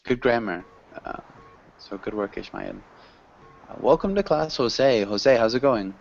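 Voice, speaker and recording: A man teaching an online English class, patient and encouraging, correcting grammar gently. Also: medium pitch, animated, measured pace, creaky, dull audio.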